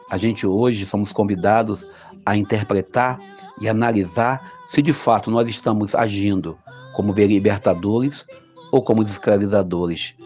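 Brazilian man, lowish voice, hesitant chatty preacher, dull lo-fi audio, faint music under. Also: darkish tone. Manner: conversational, many hesitations and restarts. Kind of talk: informal gospel reflection.

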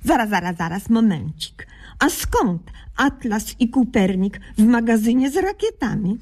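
Earnest young doctor, high lilting voice. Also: female voice, a little slow. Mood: officiously caring. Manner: deadpan, quaintly mangled officialese. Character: earnest, naive young woman doctor, solemnly absurd. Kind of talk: radio comedy sketch narration and dialogue.

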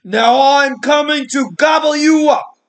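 A man's voice: very high voice, a little slow, shouting, voice pushed up. A man voicing a big, mean troll who bellows threats in a storybook read-aloud. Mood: roaring rage. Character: big mean male troll, roaring and menacing.

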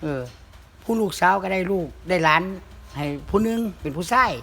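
High-voiced, fast-talking Isan man telling stories. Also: fairly bright tone. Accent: northeastern Thai (Isan). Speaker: comic storyteller. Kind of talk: casual humorous folk storytelling. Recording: clear background noise.

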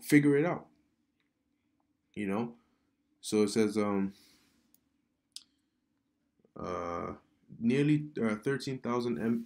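A man giving casual religious commentary over news articles he reads aloud, halting and repeating words, often calling the listener "man.". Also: lowish voice, darkish tone. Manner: preachy, addresses viewer as man. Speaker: online commentator. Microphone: computer mic during screen recording.

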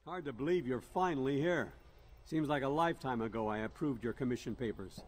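An actor playing a gruff, stern military commander in a video game, blunt and commanding. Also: male voice, mid-range voice, a little fast, lightly acted.

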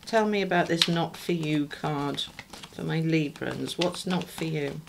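A woman's voice: deep voice, measured pace, gravelly, noisy background. Middle aged british lady doing a tarot reading, kinda throaty and stuffed up, rambly and chatty with her viewers. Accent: British English. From United Kingdom. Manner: chatty, rambling, addresses viewers warmly as a group. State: slightly throaty, congested. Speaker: tarot reader. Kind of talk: casual spoken tarot reading.

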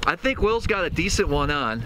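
A man's voice: on the high side, sing-song, brisk. A man hosting a fishing vlog, chatting casually to an action camera on an open boat. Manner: easygoing, talks to camera about gear. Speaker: fishing channel host. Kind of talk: casual outdoor fishing chat. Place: open boat on a windy river. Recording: windy outdoor boat recording. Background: wind and boat noise.

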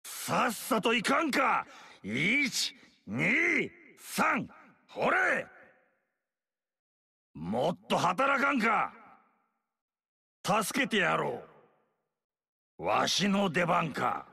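A gravelly tyrant warlord. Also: male voice, high-pitched, huge pitch swings, drawn-out words, long pauses, exaggerated voice. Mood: blustering. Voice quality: gravelly, barking. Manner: blustering orders and battle shouts. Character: greedy tyrannical old male warlord, boastful and cruel. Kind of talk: video game action voice lines. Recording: long hall reverb.

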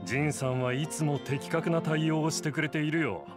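An actor playing a gruff, dry middle-aged tavern bartender in a Japanese game. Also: male voice, on the high side, normal speed, music in the background, natural voice. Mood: matter-of-fact.

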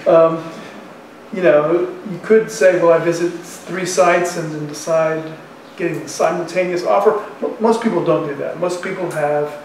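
A man in his sixties lectures like a professor thinking aloud, in colloquial language. His voice sits fairly high. It is picked up from some distance in a very echoey classroom, with faint background noise. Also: fairly even intonation, normal speed. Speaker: university economics professor. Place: university classroom in front of chalkboard.

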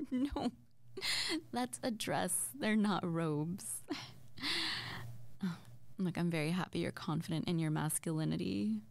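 Woman acting a slow, breathy, flustered young witch. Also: mid-range voice, a little mellow, dry recording. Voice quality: breathy, often dropping to near-whisper. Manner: hesitant, stammering, giggly. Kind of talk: intimate girlfriend roleplay ASMR audio.

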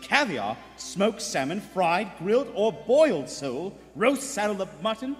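A man's voice: sits high, sing-song, measured pace. A man playing a jaunty, charming greengrocer in a stage radio play. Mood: playfully grand. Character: Ted, jaunty, charming young male greengrocer detective. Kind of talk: vintage radio play acting. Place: theater stage before a live audience. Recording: echoey theater stage, music behind.

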